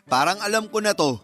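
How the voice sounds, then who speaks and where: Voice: medium pitch, fairly bright tone. Sassy, teasing young friend, Albi. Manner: mocking, playful banter. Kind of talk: Filipino radio romance drama acting.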